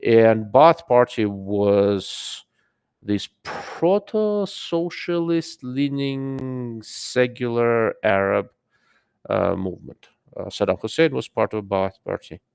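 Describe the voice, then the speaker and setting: Accented male livestream host, low gravelly voice, dry close sound, rambling off-the-cuff delivery. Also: takes it slowly, darkish tone, no room sound. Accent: non-native English. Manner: rambling, filler-heavy, greets chat mid-sentence. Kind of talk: casual live-stream commentary.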